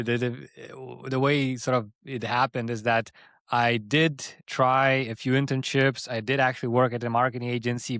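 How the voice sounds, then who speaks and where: A man's voice: mid-range voice, a bit bright, no room sound, studio quality. A man in his thirties talking casually as an interview guest, often rephrasing mid-sentence as he explains himself. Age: 30s. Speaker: interview guest, agency founder.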